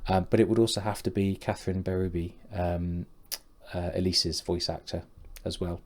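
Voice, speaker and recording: A man's voice: sits low, little pitch movement, moderate pace. A man co-hosting a podcast in casual chat, teasing and laughing often. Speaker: podcast co-host.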